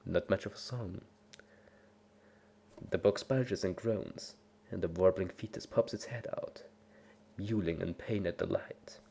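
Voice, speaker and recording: A man's voice: deep voice, measured pace, creaky, pauses often, breathy edge. A man giving an over-the-top, bombastic dramatic reading of a story as an amateur narrator. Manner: theatrical, bombastic, swinging from whispers to shouts. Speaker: amateur reader of fan fiction.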